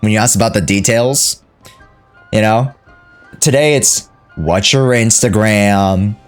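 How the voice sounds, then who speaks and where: A man live-streaming a game, chatting casually in a slangy, joking way with drawn-out phrasing. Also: on the low side, smooth, soft background music.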